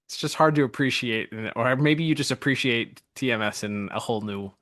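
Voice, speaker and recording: A man's voice: mid-range voice, fairly quick, fairly bright tone, no echo, studio quality. A man co-hosting a podcast in a casual, enthusiastic review chat, speaking informally. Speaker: podcast co-host.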